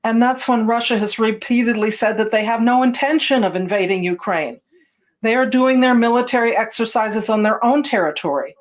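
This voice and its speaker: Woman giving a steady voice-over translation. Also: a little high, brisk. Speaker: interpreter. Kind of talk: voice-over translation of a conference speech.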